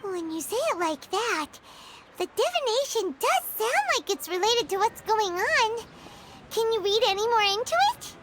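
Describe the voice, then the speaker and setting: A woman voicing a small, childlike fairy companion in a video game, squeaky, expressive and earnest. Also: moderate pace, very noisy. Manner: refers to self by name, expressive, earnest.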